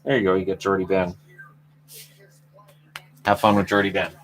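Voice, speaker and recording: Canadian man hosting a laid-back hockey stream, lower voice, joking and rambling, faint noise behind. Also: flat delivery, normal speed, rough recording. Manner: joking, rambling, self-mocking. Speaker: hockey channel host. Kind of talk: casual live chat about hockey news.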